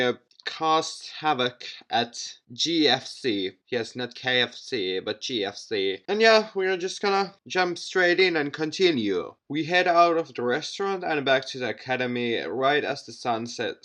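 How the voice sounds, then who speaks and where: A man's voice: mid-range voice, a bit bright. A man hosting a let's play, reading visual novel text aloud with commentary and often tripping over words and repeating them. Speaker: gaming video host.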